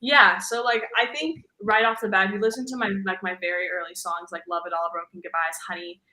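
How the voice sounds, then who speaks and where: A young woman singer with a voice deep for a woman, chatting casually in an interview and filling her talk with "like" and "um.". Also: fairly even intonation, a little fast, roomy sound, a crowd in the background, poor audio. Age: young adult. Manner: chatty, fills with "like" and "um", laughs easily. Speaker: guest singer.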